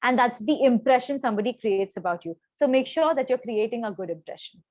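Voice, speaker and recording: A woman's voice: fairly high pitch, expressive, fairly quick, darkish tone, no room sound. A young woman with an Indian accent, coaching pageant contestants in an instructional talk and mixing Hindi phrases into her English. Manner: switches into Hindi phrases mid-sentence; clear, coaching tone with frequent "right?" checks. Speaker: pageant trainer.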